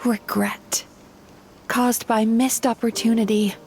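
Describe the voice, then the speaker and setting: An actress playing a gentle, earnest young woman psychologist in a video game, speaking in a thoughtful, measured way. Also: fairly high pitch, flat delivery, faint music behind. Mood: wistful.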